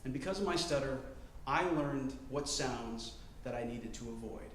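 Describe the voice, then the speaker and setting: A man in his twenties telling a story on stage, choosing and enunciating each word carefully, with deliberate pauses. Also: medium pitch, a little hiss or hum. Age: 20s. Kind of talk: live storytelling performance. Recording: roomy live-venue sound.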